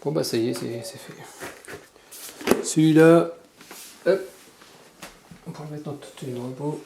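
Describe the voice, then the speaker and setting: A man's voice: on the high side, measured pace, creaky, background noise. A man giving a casual hands-on tutorial, muttering informally step by step as he works. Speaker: motorcycle gear tutorial vlogger.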